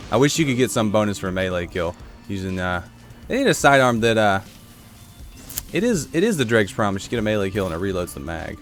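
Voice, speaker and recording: A man giving casual gameplay commentary while playing a shooter, with a congested throat he keeps clearing, chatting to viewers and reacting aloud. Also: fairly low pitch, sing-song, moderate pace, long pauses, soft background music. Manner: chatty, reacts aloud to the game, answers chat. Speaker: gaming YouTuber.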